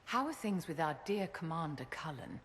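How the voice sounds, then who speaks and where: Haughty, swooping low-voiced noblewoman. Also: very animated, moderate pace, long reverb tail, a little hiss or hum. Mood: soothing, airy. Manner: theatrical, languid, condescending endearments. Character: haughty, pampering aristocratic woman mage, elegant and playful. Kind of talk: video game cutscene acting.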